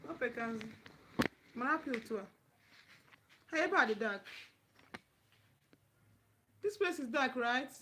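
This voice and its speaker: A woman's voice: high voice, lively intonation, unhurried, pauses often, bright, forceful tone. A Nigerian woman hosting a casual live chat, speaking directly and repeating her phrases for emphasis. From Anambra State, Nigeria. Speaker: livestream host giving relationship advice. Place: dim room at home.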